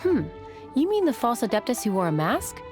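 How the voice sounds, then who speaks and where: Courteous innkeeper. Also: female voice, on the high side, big pitch swings. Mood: polite. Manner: courteous, measured. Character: polite adult female innkeeper. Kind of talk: video game dub acting. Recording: game audio with music behind.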